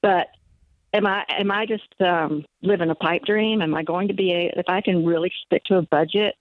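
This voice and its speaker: A woman's voice: on the low side, steady tone, normal speed, no echo. A woman in her sixties calling in to an advice show, talking hesitantly with many fillers and restarts. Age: 60s. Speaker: caller. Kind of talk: phone call to a radio advice show. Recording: tinny phone line.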